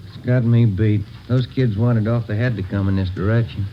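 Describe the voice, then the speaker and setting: An actor playing a weary, dejected American police detective, talking in short plaintive phrases in an old radio drama. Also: male voice, lowish voice, fairly even intonation, normal speed, lightly acted. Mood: weary, baffled. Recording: dull, noisy old radio recording.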